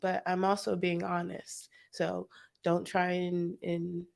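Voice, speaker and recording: A woman with a lowish, gravelly voice co-hosting a podcast. She talks slowly and candidly, in a soft-spoken manner. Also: monotone. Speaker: podcast co-host. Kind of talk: casual podcast chat.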